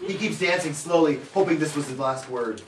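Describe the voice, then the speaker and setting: A woman's voice: deep voice, a little fast, very noisy. A woman theatrically narrating stage directions in a comic script reading, breaking off into playful asides. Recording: echoey room, far-off camera mic, crowd.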